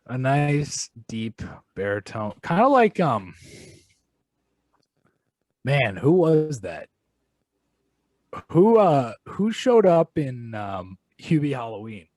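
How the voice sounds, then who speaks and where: A man's voice: medium pitch, very animated, slow, pauses often, a little mellow, dry recording. A man co-hosting a film podcast in casual chat. He is wry and self-mocking and halts often on fillers. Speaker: podcast co-host.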